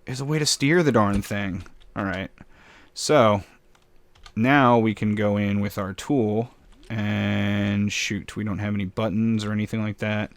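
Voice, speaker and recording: A man casually commenting while building in a game, with a slightly raspy voice, rambling and poking fun at himself. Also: on the low side, fairly even intonation, unhurried, no room sound. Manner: rambling, self-mocking, chuckles often. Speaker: gameplay commentator.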